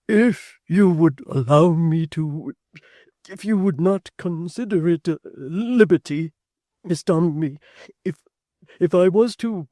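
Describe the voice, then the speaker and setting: A man voicing a bashful, flustered young gentleman who stammers, in a storybook reading. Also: fairly high pitch, takes it slowly, dry recording, clean studio sound, voice pushed up.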